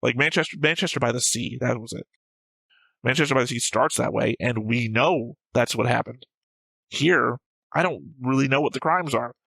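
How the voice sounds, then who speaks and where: A man's voice: mid-range voice, moderate pace, vocal fry, sharp tone, slightly rough, dry recording, low-quality recording. A man who hosts a reaction show, talking casually and discursively about a series, rambling and swearing freely.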